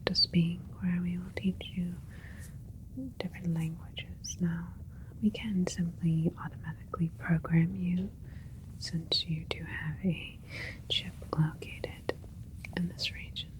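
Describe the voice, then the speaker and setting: A woman playing a calm, clinical alien scientist in a sci-fi ASMR roleplay, speaking in a soft, breathy whisper with a gentle, methodical manner. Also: on the low side, slow, rough recording. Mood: calm, explanatory. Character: calm, clinical alien scientist doctor examining their creation.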